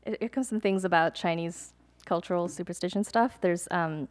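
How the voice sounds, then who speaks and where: A young adult woman, a poet, reading her poems aloud at a live event, measured and deliberate, with chatty, hesitant asides between pieces. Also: fairly low pitch. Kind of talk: poetry reading with casual asides to an audience.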